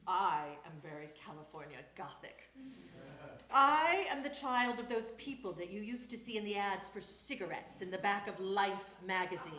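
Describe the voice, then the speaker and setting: A woman reading a personal essay aloud to an audience at a live storytelling show. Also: medium pitch. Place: small theatre stage. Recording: roomy live stage recording with background noise.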